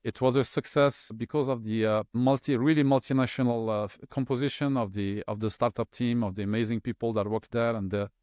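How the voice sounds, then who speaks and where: A middle-aged man whose native language is French talks through his career as an interview guest. He speaks at a middling pitch, pausing often with fillers and restarting his sentences. The sound is dry and close. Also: steady tone, a little mellow, dry recording. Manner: hesitant, many fillers and restarts. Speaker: interview guest, LNG specialist. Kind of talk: conversational interview.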